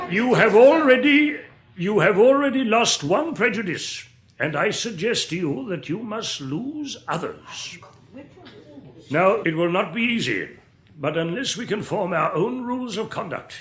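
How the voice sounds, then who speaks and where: An actor playing a stern, uncompromising professor, lecturing in a deliberate, emphatic, didactic way in a radio drama. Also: male voice, on the high side, fairly bright tone, full character voice. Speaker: sociology professor giving a speech. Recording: roomy, echoing lecture hall.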